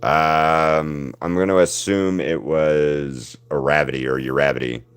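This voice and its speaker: A man with a deep voice, chatting casually with viewers while he paints on a livestream. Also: steady tone, measured pace, clear, resonant tone. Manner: rambling, answers viewers' chat by name. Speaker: artist hosting a livestream.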